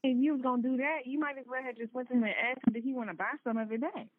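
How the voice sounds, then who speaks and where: Fast-talking woman on a phone line. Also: sits high, steady tone, slight background noise. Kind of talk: casual phone conversation. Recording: narrow, tinny phone line.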